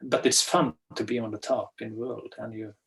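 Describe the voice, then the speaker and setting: Norwegian-accented man with a gravelly voice. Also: mid-range voice, flat delivery, a crowd in the background, low-quality recording. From west coast of Norway. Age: 50s. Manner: halting, many fillers and restarts. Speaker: guest, ultra runner. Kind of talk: casual storytelling in a webinar. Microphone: video call.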